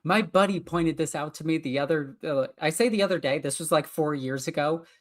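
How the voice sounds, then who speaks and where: A woman with an Icelandic accent and a notably low-pitched voice co-hosts a casual podcast. She talks as an enthusiastic fan, gushing about what she loves and laughing easily. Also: fairly quick. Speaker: podcast co-host. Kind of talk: casual chat.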